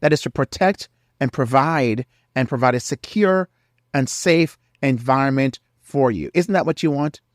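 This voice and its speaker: A man's voice: mid-range voice, moderate pace, no room sound, studio quality. A middle-aged man hosting a faith-based marriage podcast, earnest and preacherly, sounding nasal from a cold. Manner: earnest, preacherly, repeats key phrases. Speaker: podcast host.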